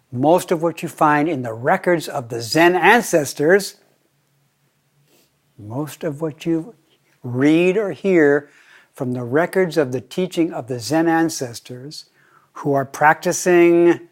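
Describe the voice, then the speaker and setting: An older American man giving a Zen dharma talk, playful and deliberate, often slowing down and repeating his phrases. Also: medium pitch. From United States. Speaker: Zen teacher.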